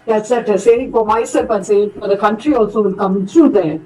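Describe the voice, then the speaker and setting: A woman moderates a formal session, heard slightly away from the microphone. Her voice sits at a middle pitch, and she talks off the cuff in hesitant, wordy, courteous phrases. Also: a little fast, dark tone. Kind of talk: unscripted formal online conference remarks. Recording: distant microphone.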